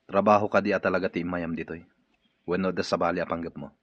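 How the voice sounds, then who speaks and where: A gruff, irritable boss in a Filipino radio drama. His voice is very low and rough-edged, with a Filipino accent and a curt, questioning manner. The sound is dry and muffled. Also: little pitch movement, fast talker, a bit bright, dry recording. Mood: suspicious, curt. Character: gruff, suspicious, irritable wealthy boss in a wheelchair. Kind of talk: Ilocano radio drama acting.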